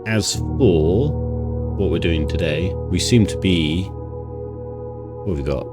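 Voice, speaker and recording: A man's voice: low voice, slow. A man hosting a casual game playthrough, stuffy-nosed from a cold, thinking aloud and rambling with restarts. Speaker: gaming channel host. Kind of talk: casual let's-play commentary. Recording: music playing under the voice. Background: new-age music.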